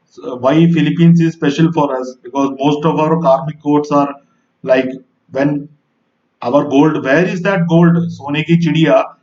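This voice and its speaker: A man's voice: on the high side, darkish tone, far from the mic, muffled recording. Indian man, earnest rambling talk, roomy sound. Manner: rambling and earnest, with little laughs and repeated "guys". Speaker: spiritual video host. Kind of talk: casual monologue to camera.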